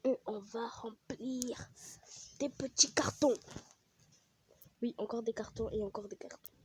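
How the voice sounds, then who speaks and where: A woman's voice: on the high side, a little slow, a little mellow, slightly rough. A young woman with a cold chats casually and rambles with many fillers while she plays a game. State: has a cold, coughing. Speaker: gaming vlogger.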